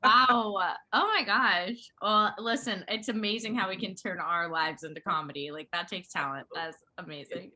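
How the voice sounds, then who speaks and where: A woman's voice: medium pitch, steady tone, normal speed, hardly any pauses, sharp tone. A woman hosting an interview, asking questions and reacting with enthusiasm. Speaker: interviewer, host. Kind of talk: casual interview.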